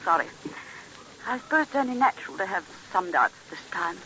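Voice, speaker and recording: Scheming woman, bright sharp tone, guarded, swooping pitch, radio play, dull old recording. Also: big pitch swings, a little fast, a little hiss or hum. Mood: conspiratorial, uneasy. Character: scheming, uneasy female accomplice. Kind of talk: old-time radio drama acting.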